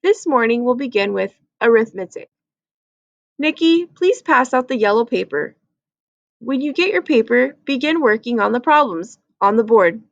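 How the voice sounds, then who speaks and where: A woman's voice: a little high, expressive, moderate pace, creaky, no room sound, dull audio. A woman voicing a stern but fair female schoolteacher in a storybook. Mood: firm.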